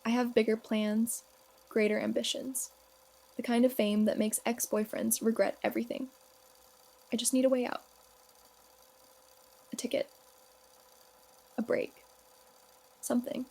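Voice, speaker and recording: A woman playing a bitter, ambitious young woman longing for fame and escape, in a dramatic monologue with a husky, creaky voice. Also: a little high, little pitch movement, a little slow, pauses often, dark tone. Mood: brooding, yearning.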